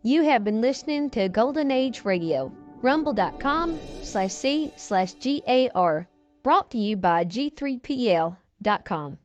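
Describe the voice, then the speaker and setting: Female outro announcer, high voice, scripted read, faint music underneath. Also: expressive, measured pace. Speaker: channel announcer.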